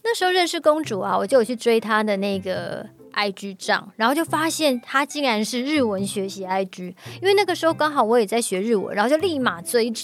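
A woman with a Taiwanese Mandarin accent hosting a casual podcast interview, curious and animated in the way she reacts. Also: on the high side, a little fast, hardly any pauses, faint music behind. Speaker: podcast host.

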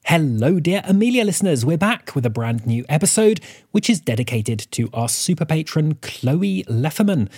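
A man's voice: a little high, a little mellow, breathy edge, no room sound, studio quality. A man hosting an audio fiction podcast, reading a scripted announcement to his listeners. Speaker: podcast host introducing episodes.

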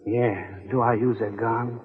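An actor playing a wry, nervous, reluctant accomplice in an old-time radio adventure. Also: male voice, medium pitch, level tone, measured pace, natural voice. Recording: muffled reverberant old radio recording.

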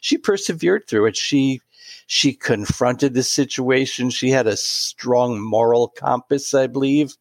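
Halting man in his late sixties. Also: medium pitch, dry recording. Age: 60s. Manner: warm, halting anecdotal storytelling with many restarts. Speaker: guest. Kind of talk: storytelling interview.

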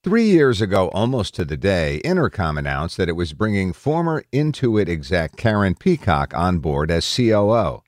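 A man with a deep voice narrates written news articles. His intonation moves around in a lively way as he reads the script. The sound is dry, with no room around it. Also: normal speed, few pauses, no room sound.

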